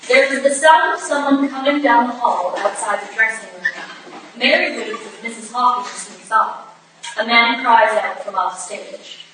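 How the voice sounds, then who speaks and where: A woman with a slightly high voice reads out stage directions as a narrator. She is far from the microphone in a very echoey, noisy hall. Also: normal speed, a bit bright. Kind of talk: scripted narration at a staged reading. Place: live staged reading in a roomy indoor venue.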